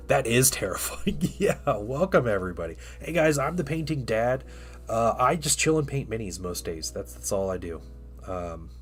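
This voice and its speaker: A man getting over a cold, chatting casually on a live stream while painting miniatures, rambling with many fillers and restarts. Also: mid-range voice.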